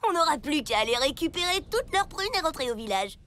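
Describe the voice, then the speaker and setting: A woman voicing a scheming, mocking bully kid with a bossy manner in a French animated series. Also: sits high, quick pace, slight background noise. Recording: loud cartoon music behind.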